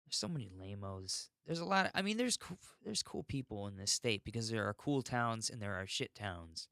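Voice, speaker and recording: A man's voice: fairly low pitch, animated, normal speed, bright, forceful tone, clean tone, dry recording, clean studio sound. An American man hosting a podcast alone, rambling and ranting in an unfiltered, sweary way. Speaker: podcast host. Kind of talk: rambling solo podcast monologue.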